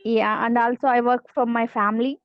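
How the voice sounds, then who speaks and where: A woman from South India with a South Indian accent, a housewife learning English, speaking in halting simple sentences on a video call. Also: on the high side, little pitch movement, smooth, no room sound. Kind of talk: hesitant learner conversation. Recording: dry, dull lo-fi call audio.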